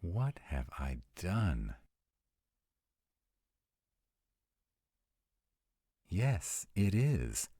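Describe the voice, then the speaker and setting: A man's voice: deep voice, wildly swooping pitch, drawn-out words, mellow, husky, no room sound, clean studio sound, heavily acted. A man playing a sarcastic, anxious vampire of nearly two hundred in an intimate, conversational roleplay audio. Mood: guilty. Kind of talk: intimate one-sided roleplay audio acting.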